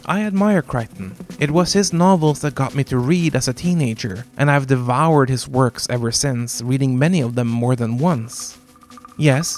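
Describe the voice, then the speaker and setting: A man whose first language is Norwegian, hosting a video essay in a measured, wry scripted narration with dramatic pauses. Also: mid-range voice, a little mellow. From Norway.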